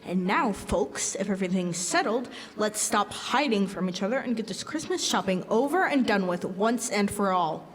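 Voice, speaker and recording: A woman's voice: mid-range voice, expressive, normal speed, hardly any pauses, lightly acted. A young woman playing an exasperated, bossy middle-aged father in an old-style radio comedy performed on stage. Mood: firm, weary. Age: teens or young adult. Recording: live stage hall, long echo.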